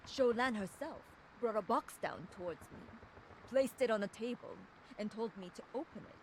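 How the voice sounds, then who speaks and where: A woman's voice: on the high side, animated, normal speed. Solemn, fierce young female enforcer, noisy backdrop. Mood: solemn, reverent. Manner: solemn, controlled, resolute. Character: young woman, fierce, proud, loyal crime-syndicate enforcer and fighter. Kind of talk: dramatic first-person scripted monologue from a video game audio log.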